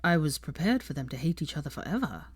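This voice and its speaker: A woman's voice: low-pitched, big pitch swings, fast, husky, heavily acted. A woman voicing an uneasy, disapproving young man in a storybook, dry and exasperated. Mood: disturbed.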